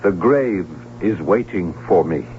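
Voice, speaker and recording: A solemn, ghostly bridegroom with a low voice and very slow, deliberate delivery. He is courtly and ominous, in vintage radio drama style, through a thin, phone-like broadcast. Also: animated. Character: solemn doomed spectral bridegroom, courtly and grave. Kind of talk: old-time radio drama acting. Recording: muffled phone-like old radio, humming noise.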